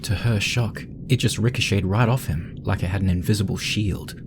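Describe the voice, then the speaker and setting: A man's voice: lowish voice, normal speed, heavy background noise. A man working as narrator, reading a scripted horror story dramatically.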